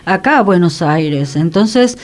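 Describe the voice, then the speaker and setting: A woman's voice: on the low side, lively intonation, slow. A woman with an Argentine accent talking on a radio panel, explaining things in a conversational way. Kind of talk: conversational radio discussion of theater history.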